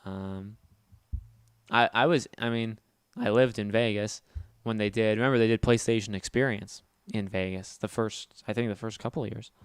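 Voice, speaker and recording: A man hosting a casual gaming livestream, talking fast and loud with a nasal voice and rambling as he answers chat. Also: on the low side, fairly even intonation, clear, resonant tone, no echo. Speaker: livestream host.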